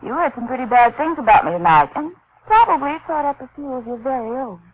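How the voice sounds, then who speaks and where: An actress playing a cool, flirtatious, knowing femme fatale in 1940s radio noir style. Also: female voice, high-pitched, sing-song, fast talker. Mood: teasing, resolute. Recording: thin, phone-like old radio broadcast.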